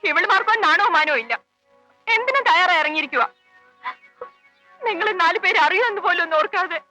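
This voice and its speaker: A jealous wife, very high and fast, every word sharp and insistent. Faint music lies under her on an old film soundtrack. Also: rough recording. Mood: furious. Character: fierce, jealous young wife. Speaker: suspicious wife.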